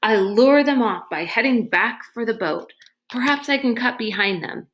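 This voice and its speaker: A woman's voice: a little high, lively intonation, moderate pace, a bit bright. A woman voicing a brave, protective father in a storybook, earnest, emotional and resolute.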